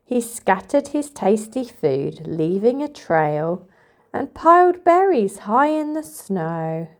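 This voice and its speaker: A woman reading a picture book aloud to toddlers in an expressive, sing-song storytelling manner. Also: medium pitch, slow pace. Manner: expressive, sing-song, addressing young children.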